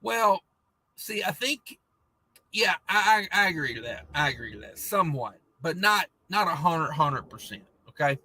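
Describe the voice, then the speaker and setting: A man hosting a trucking livestream, reading viewer comments in a rambling, laid-back chat, and his voice is going hoarse. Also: on the high side, sing-song, moderate pace, sharp tone, rough recording. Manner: rambling, laid-back, many fillers and restarts. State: losing his voice.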